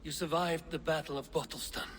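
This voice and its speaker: An actor playing a steady warrior leader who speaks gravely and shouts commands in battle. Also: male voice, fairly high pitch, brisk, slight background noise, close to an everyday voice. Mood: solemn.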